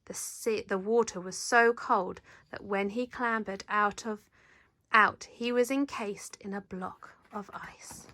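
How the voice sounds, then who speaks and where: Bright-voiced woman reading a children's story. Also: mid-range voice, takes it slowly, no room sound. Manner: animated, dramatic, leans into sound words. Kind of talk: expressive read-aloud narration.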